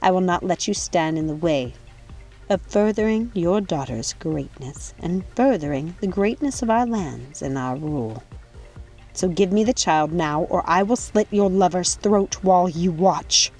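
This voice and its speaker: A woman voicing a cruel, commanding witch matriarch in an audiobook, speaking with deliberate, calm menace. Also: fairly low pitch, soft background music. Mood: gloating menace. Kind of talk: audiobook villain dialogue.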